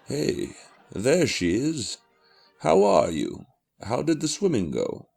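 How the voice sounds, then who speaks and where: Man acting tipsy older father, animated and gravelly. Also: mid-range voice, slow, faint music behind, exaggerated voice. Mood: tipsy, affectionate. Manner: dulled with liquor, dismissive, soothing. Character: older widowed father, tipsy, dismissive yet affectionate. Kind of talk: audio horror drama acting.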